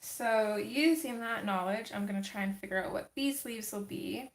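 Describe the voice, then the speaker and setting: A woman hosting a casual livestream, chatting with viewers as she colors, her voice a little gravelly from just waking up. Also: mid-range voice, moderate pace, reverberant. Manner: chatty, reads and answers chat comments. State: slightly gravelly morning voice. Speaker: livestream host.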